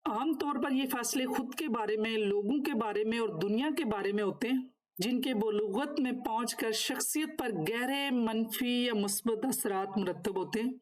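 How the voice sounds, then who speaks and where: Lady teacher w/ highish voice doing a lecture, reading notes n explaining, kinda formal. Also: moderate pace. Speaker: NLP course instructor. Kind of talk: lecture, partly read from notes with spoken explanations.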